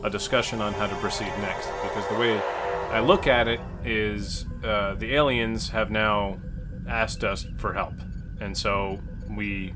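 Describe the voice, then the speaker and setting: A man playing a tabletop game, talking through options in a measured, thoughtful way. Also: on the low side, steady tone. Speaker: player voicing the captain. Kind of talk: tabletop play discussion. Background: background music.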